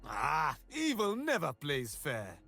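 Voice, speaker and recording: A man's voice: fairly high pitch, huge pitch swings, slow, creaky, sharp tone, full character voice. A man voicing a cocky, carefree heroic rogue in a video game, smug, theatrical and teasing as he taunts villains. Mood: smug menace. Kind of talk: video game character voice lines.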